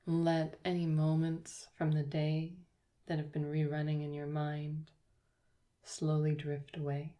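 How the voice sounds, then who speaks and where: A woman yoga teacher guiding a yoga nidra relaxation, speaking slowly and softly with long pauses. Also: deep voice, monotone. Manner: slow, soft, soothing guidance with long pauses.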